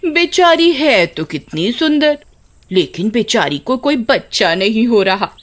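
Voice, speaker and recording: A gossiping village woman as a storyteller plays her in a cartoon tale. She taunts in a raised, drawn-out voice full of mock pity. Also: fairly high pitch, sing-song. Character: mocking, gossiping village women. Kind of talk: Hindi animated story acting.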